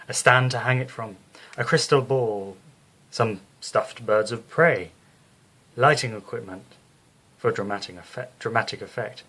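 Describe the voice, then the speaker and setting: A man narrating a scripted bedtime story as the storyteller, in a measured, descriptive way. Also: on the low side, a bit bright, low-quality recording.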